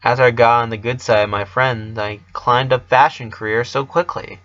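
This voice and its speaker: A man voicing a worried, caring female pony dress designer in a storybook reading, with a gentle, earnest, halting manner. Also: mid-range voice, fairly even intonation, highs cut off, natural voice. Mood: gentle, reassuring.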